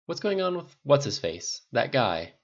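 An audiobook narrator voicing a brash, boozy, excitable man with a loud, emphatic, exclaiming manner. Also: mid-range voice, a little slow, lo-fi sound, voice pushed up. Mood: surprised, curious. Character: brash, boozy, excitable man, rich party-throwing boss.

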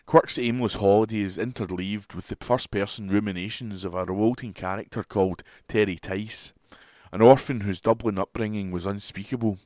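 Lowish-voiced man reading, dry sound. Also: fairly even intonation, normal speed, a little mellow, dry recording. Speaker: volunteer newspaper reader. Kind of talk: reading a book review aloud. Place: at home.

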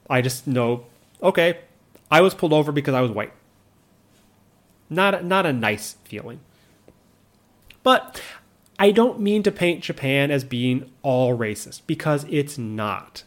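A man in his thirties speaks in a measured, earnest way. His pitch and loudness sit mid-range for a man. He talks reflectively about his own life, often restarting a phrase or adding an aside. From United States. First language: English. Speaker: vlogger living abroad. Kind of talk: reflective personal monologue.

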